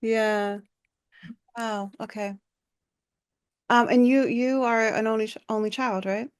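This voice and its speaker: Unhurried woman interviewer. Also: on the high side, steady tone, clear, resonant tone. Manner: unhurried, affirming, many fillers. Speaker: artist host. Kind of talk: conversational interview.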